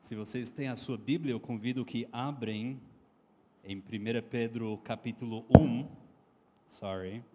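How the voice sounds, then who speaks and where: A man from Texas with an American accent, preaching a sermon in a language not his first, slowly stressing each command. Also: medium pitch, steady tone, very noisy. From Texas, United States. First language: English. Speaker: pastor.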